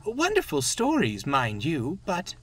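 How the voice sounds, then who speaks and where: A man's voice: on the high side, huge pitch swings, unhurried, slightly rough, pitched above the performer's own voice, heavily acted. A man voicing a chatty, wistful female railway coach in a storybook, with a genteel, emphatic manner. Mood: wistful, proud.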